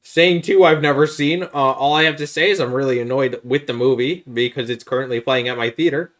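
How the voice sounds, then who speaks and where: A man's voice: mid-range voice, brisk, a bit bright. A man co-hosting a podcast, talking casually and giving blunt, critical opinions. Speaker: podcast co-host. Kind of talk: casual opinionated chat about films.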